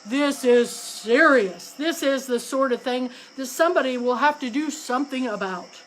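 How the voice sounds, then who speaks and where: A woman's voice: high voice, moderate pace, slight background noise, close to an everyday voice, poor audio. A woman voicing a pompous, timid male crow who answers in a stiff, defensive way. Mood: solemn.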